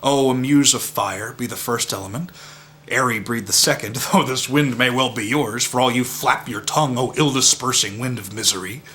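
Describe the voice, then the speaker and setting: A man's voice: medium pitch, normal speed, fairly bright tone, a little hiss or hum, natural voice. A man playing a blustering, hot-tempered knight in a pompous mock-Shakespearean dramatic recitation. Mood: scornful lecturing. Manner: declamatory, pompous, emphatic.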